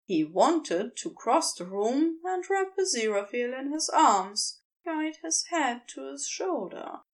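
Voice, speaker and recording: High-voiced woman narrating fiction, slow and expressive. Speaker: audiobook narrator.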